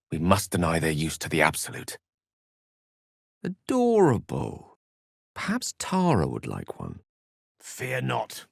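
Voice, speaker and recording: A man's voice: mid-range voice, fairly bright tone, no echo, studio quality, heavily acted. A male actor playing an erudite, wry wizard in a fantasy video game, articulate and theatrical, with a British accent and a streak of melancholy.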